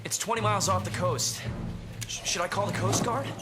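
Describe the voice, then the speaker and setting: An actor playing an eager, flustered male newsroom subordinate, stammering and excitable, in a game cutscene. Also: high voice, fairly quick, loud music behind the voice, close to an everyday voice. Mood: nervous urgency.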